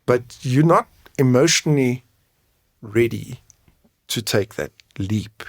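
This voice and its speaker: A man's voice: medium pitch, animated, slow pace, no room sound, studio quality. A man explaining things frankly and conversationally as an interview guest, often checking his points with a tag question. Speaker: guest, men's mental health coach and advocate.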